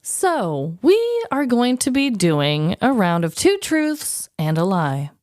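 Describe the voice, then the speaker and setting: A woman with an American accent co-hosting a comedy podcast, bantering casually in an expressive, playfully dramatic way. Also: medium pitch, slow, music in the background.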